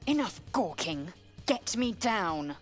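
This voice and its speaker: Imperious warrior, game character, curt orders, disdainful, medium pitch, music behind. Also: female voice, big pitch swings, slow pace. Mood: curt, commanding. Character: stern, imperious alien warrior woman, curt and proud. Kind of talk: video game character acting.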